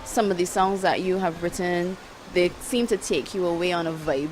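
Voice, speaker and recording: A woman's voice: lowish voice, moderate pace, darkish tone, slight background noise, low-quality recording. A woman interviewing an artist for a magazine, upbeat and affirming. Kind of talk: casual interview. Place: outdoor arts event.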